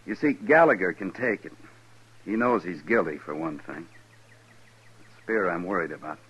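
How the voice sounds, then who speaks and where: A man playing an earnest, worried Army lieutenant, polite and guarded, with a husky voice in 1950s radio western style. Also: low voice, animated, normal speed, fairly bright tone, highs cut off, exaggerated voice.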